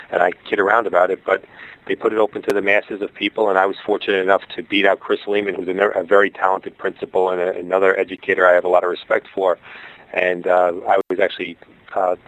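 A man's voice: low voice, highs cut off. A middle-aged man with a Brooklyn accent, a school founder answering interview questions in a rambling way, with many fillers and restarts. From Brooklyn, New York. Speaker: guest, charter school founder.

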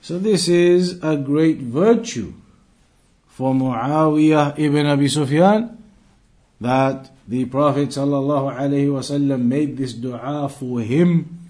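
A man giving an Islamic lesson as a teacher, reciting texts and explaining them phrase by phrase in a deliberate, repetitive way. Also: mid-range voice, darkish tone. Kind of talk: religious lesson, reciting Arabic texts then translating phrase by phrase.

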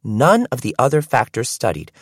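Animated man narrating an audiobook. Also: mid-range voice, moderate pace, slightly rough, no echo, clean studio sound. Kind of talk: scripted first-person audiobook narration.

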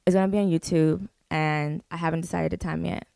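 A woman's voice: lowish voice, fairly even intonation, fairly quick, vocal fry, mellow, no echo, studio quality. A young woman, a singer, chatting casually as a guest, laid-back and full of "like" fillers and swearing. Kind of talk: casual interview chat.

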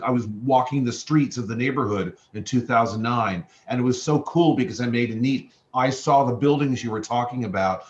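A man in casual discussion on a video call, telling an anecdote with enthusiasm. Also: mid-range voice. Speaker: discussion participant. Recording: roomy, muffled video-call audio.

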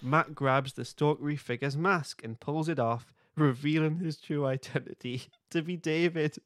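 A man's voice: on the high side, moderate pace, a bit bright, dry recording, clean studio sound. A man hosting a podcast, reading script narration aloud in an amused way. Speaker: podcast host.

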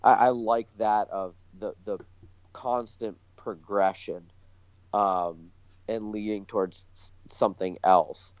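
A man's voice: on the low side, fairly even intonation, takes it slowly, a little mellow, smooth. A man co-hosting a podcast, chatting casually in tentative, stop-and-start phrases on a dull recording. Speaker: podcast co-host. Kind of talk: casual chat. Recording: dull, lo-fi, roomy echo.

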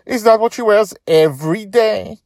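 A man's voice: high-pitched, normal speed, darkish tone, dry recording, studio quality, voice pushed up. A man voicing mean, mocking schoolchildren in a storybook reading, with a jeering, derogatory manner.

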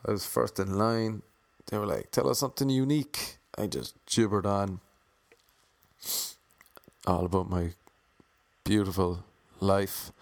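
A man's voice: lowish voice, unhurried, vocal fry, pauses often, no echo. A young man with an Irish accent telling wry, self-mocking stories about his auditions in a casual monologue. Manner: wry, self-mocking, playfully imitating others.

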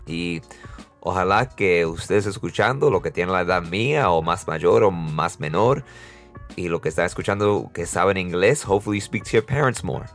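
A man's voice: deep voice, animated, moderate pace, soft background music. A young man with a mixed Dominican and American Spanish accent, hosting a podcast casually, laughing often and restarting words. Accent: Dominican and American-tinged Spanish. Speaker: podcast host. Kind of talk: casual interview and outro.

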